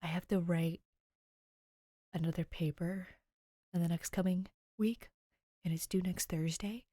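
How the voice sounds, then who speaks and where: A woman's voice: lowish voice, steady tone, a little slow, warm tone, dry recording. Dry, close-recorded young woman reader. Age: young adult. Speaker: podfic reader and channel host. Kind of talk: story narration and chatty sign-off.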